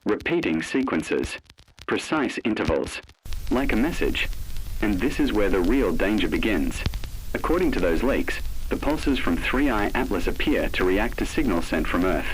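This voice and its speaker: A man's voice: fairly low pitch, slight background noise, rough recording. A man narrating a scripted horror warning in a grave, ominous manner.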